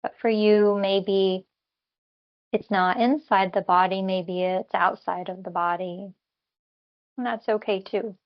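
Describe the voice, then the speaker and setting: A woman leading a guided body scan meditation as a teacher, speaking in gentle, unhurried instructions with long pauses. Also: medium pitch, fairly even intonation, lo-fi sound.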